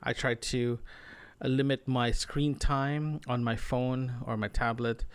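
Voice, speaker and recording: A man's voice: mid-range voice, steady tone, slow, smooth, no echo. A man speaking in a casual audio-diary monologue as a solo podcaster, rambling and often rephrasing himself. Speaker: solo podcaster, remote-working designer.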